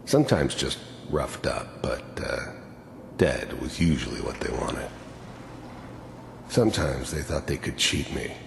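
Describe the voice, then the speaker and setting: An actor playing a world-weary, hardened mercenary in a video game, gravelly and brooding, haunted by his past. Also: expressive, echoey room, a little hiss or hum, heavily acted. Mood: cold, resigned. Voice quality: deep, gravelly. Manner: brooding, bitter, halting. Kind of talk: reflective voice-over and dramatic dialogue.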